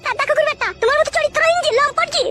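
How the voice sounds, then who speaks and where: A woman's voice: very high-pitched, steady tone, very fast, loud music behind the voice. A woman voicing a brash, nagging middle-aged village mother in a bawdy cartoon. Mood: angry accusing. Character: shrill, foul-mouthed, scolding middle-aged village mother. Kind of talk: bawdy cartoon comedy acting.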